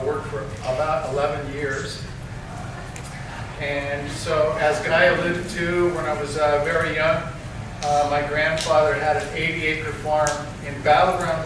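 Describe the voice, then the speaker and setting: A man from Washington state giving a casual talk at an event, chatty with a self-deprecating humor. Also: on the high side. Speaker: guest speaker and field investigator. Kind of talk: casual conference presentation. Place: event venue before a crowd. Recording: echoey hall, distant mic, clear background noise.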